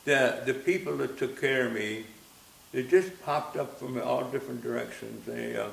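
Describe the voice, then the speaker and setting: A man in his nineties, from Austria, reminiscing gently and haltingly in an interview, often repeating words as he recalls. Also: medium pitch, creaky. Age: 90s. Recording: roomy echo with faint hiss.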